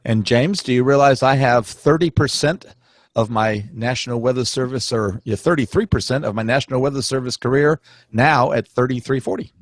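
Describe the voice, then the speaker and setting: A man's voice: mid-range voice, a little fast, no room sound, clean studio sound. A man in his late sixties, a weather forecaster, chatting casually and poking fun at himself. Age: 60s. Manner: self-mocking, chuckling. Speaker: retired weather service forecaster. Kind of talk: casual panel chat.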